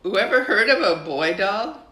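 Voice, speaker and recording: A woman voicing a warm, plain-spoken immigrant mother in a storybook, with questioning, Yiddish-flavored phrasing. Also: mid-range voice, very animated, normal speed, reverberant, slight background noise, pitched above the performer's own voice. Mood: brisk, assured.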